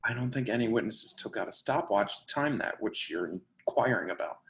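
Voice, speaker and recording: An actor playing a tough, grungy twenty-four-year-old in a play reading, casual, defensive and slangy. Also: male voice, mid-range voice, expressive, a little fast, fairly bright tone, lightly acted. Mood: exasperated. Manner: slangy, profane, conversational. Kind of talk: remote play reading, naturalistic casual dialogue. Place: indoor room, remote video call. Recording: roomy, echoey video call.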